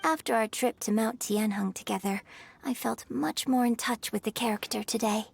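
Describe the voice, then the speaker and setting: An actress playing a graceful young opera singer in a game, speaking with refined, gentle confidence. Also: female voice, on the high side, moderate pace, vocal fry, slightly rough. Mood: reflective. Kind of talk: game voice acting.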